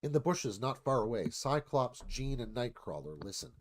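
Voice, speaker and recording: A man's voice: medium pitch, sing-song, normal speed, background noise. A man reading screenplay stage directions aloud as scripted narration.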